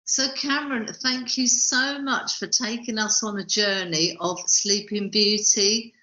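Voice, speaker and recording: A woman's voice: mid-range voice, steady tone, unhurried, bright, forceful tone, lo-fi sound. Woman podcast host in an echoey room. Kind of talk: measured interview hosting. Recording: echoey, roomy sound.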